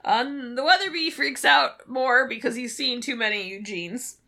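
A woman co-hosting a show, casually recapping a story in an animated way and acting out bits of dialogue. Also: on the high side, moderate pace, creaky. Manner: animated, acts out characters' lines in retelling. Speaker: podcast co-host. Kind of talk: casual episode recap chat.

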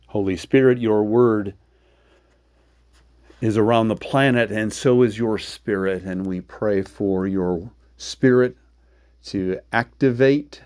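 Male American preacher for podcast introduction and prayer: lowish voice, unhurried delivery. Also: a little mellow, dry recording, studio quality.

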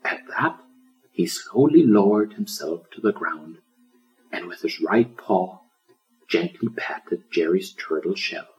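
A man's voice: low voice, lively intonation, slow pace, a little mellow, poor audio. A man reading a children's story as scripted audiobook narration. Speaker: author reading his audiobook.

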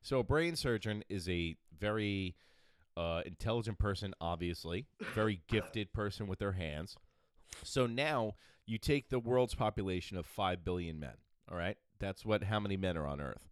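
A man co-hosting a casual podcast, excitable and argumentative, swearing and rambling through his points. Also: sits low, moderate pace, clean tone, no echo. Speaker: podcast co-host. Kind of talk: casual banter.

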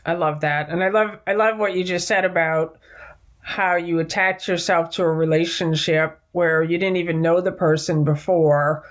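A woman's voice: on the low side, fairly even intonation, normal speed. A woman co-hosting a talk show, earnestly telling an anecdote in casual conversation. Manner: earnest, tells anecdotes with acted-out quotes. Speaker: podcast co-host. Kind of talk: conversational storytelling.

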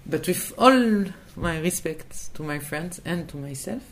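A woman's voice: fairly low pitch, lively intonation, unhurried, a little hiss or hum. A woman from Israel, answering in a thoughtful interview and hesitating and restarting as she looks for words. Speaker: interview guest.

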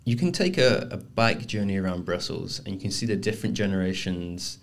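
Deep-voiced man. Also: brisk, creaky, a little hiss or hum. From north of England. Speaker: podcast guest, communications manager. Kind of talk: casual podcast interview.

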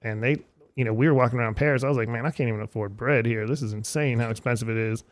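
A man in his fifties hosting a casual podcast interview, talking in a relaxed, conversational way. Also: medium pitch, rapid-fire. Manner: relaxed, conversational, talks at length. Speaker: podcast host.

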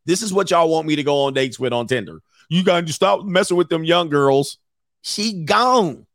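A man's voice: a little high, lively intonation, normal speed, a bit bright, no echo, clean studio sound. A man in his forties hosting mocking reaction commentary, brash and slangy, laughing often. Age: 40s. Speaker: commentary host.

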